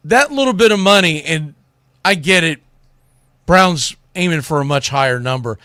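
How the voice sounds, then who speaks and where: A man with a high, very lively voice delivers sports commentary. His tone is bright and forceful, and he talks in a blunt, folksy, exasperated way, like a radio host on a rant. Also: normal speed, no room sound, clean studio sound. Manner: exasperated ranting, blunt, mildly salty, folksy.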